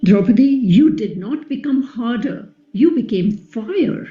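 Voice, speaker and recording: A woman playing a devoted, wounded epic queen in a play reading, speaking in a measured, reflective and solemn way, quietly resolute. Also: fairly high pitch, expressive, slightly rough, muffled recording, faint music behind, heavily acted. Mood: tender, reflective. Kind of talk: play reading, radio drama.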